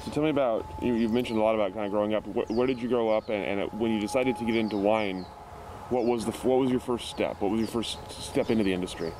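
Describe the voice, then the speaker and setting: A male interviewer with a fairly low voice who asks short questions quickly, restarting as he goes. He sits away from the mic, so he sounds quiet and distant. Faint background noise runs underneath. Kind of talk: oral history interview.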